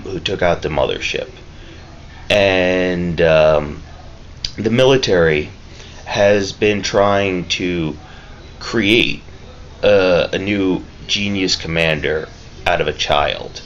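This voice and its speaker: A man talking casually and haltingly in a laid-back, rambling vlog review. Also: low voice. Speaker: vlogger reviewing a film. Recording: dull lo-fi mic with clear background noise.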